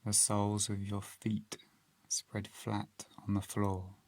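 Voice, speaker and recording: A man narrating a guided meditation as a guide, calm and gentle, with measured phrases and long pauses. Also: on the low side, a little hiss or hum.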